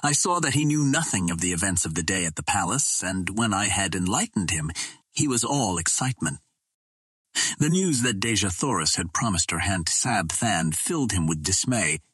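A man narrating an adventure novel as a scripted audiobook, reading in a deliberate, dramatic manner. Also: low-pitched, sing-song, a bit bright, breathy edge, dry recording.

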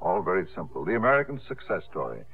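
An actor playing a suave, devoted theatre gentleman in a 1950s radio drama, heard on a tinny broadcast recording. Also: sits low, big pitch swings, quick pace, breathy edge, exaggerated voice. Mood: wry, earnest.

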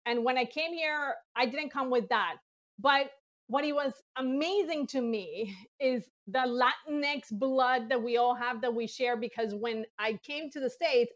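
Woman with a high bright voice, talking fast and excited on a video call, a bit muffled. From Colombia. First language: Spanish. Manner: enthusiastic, animated storytelling with laughs. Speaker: NASA mission lead, guest. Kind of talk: interview.

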